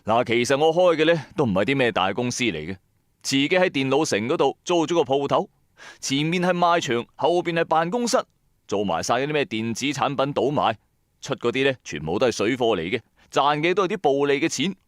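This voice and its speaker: A man's voice: mid-range voice, expressive, fairly quick, dry recording, studio quality, lightly acted. A man playing a bankrupt, hot-tempered trader who narrates his own story in colloquial, emphatic style for a radio drama. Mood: bitter, lamenting. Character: bankrupt male electronics trader, hard-pressed, hot-tempered, streetwise. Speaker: first-person narrator and lead. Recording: background music under voice. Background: music.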